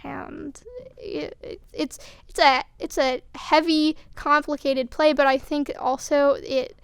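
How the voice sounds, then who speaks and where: A young woman, an actor, talking reflectively in an interview in a halting, thoughtful way. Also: high-pitched, little pitch movement, vocal fry, dry recording. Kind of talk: reflective interview talk about a production.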